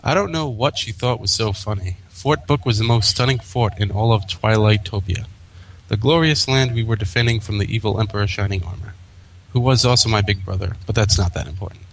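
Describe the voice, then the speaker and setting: A man's voice: fairly low pitch, a little fast, a bit bright, a little hiss or hum, poor audio. A man co-hosting a fan fiction reading, narrating the story aloud. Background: faint music.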